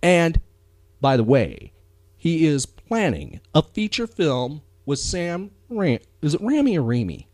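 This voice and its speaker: Male podcast host, enthusiastic and off-the-cuff, very expressive pitch swings, close dry sound. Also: takes it slowly, no room sound. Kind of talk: casual rambling chat about pop culture.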